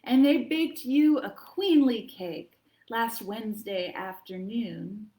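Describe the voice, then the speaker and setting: Slow-paced, expressive woman hosting children's storytime. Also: a little high. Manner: expressive, encouraging, addressing young listeners. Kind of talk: expressive poetry read-aloud for children. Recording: echoey, roomy sound.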